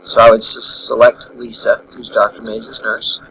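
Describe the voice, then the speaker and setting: A man with a bright, forward voice walks through an on-screen procedure in a casual teaching style. He talks through each step as he goes, thinking aloud. There is faint noise behind him. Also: on the low side, completely flat delivery, moderate pace, creaky, rough recording. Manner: instructional, thinking aloud while demonstrating. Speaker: instructor, software trainer.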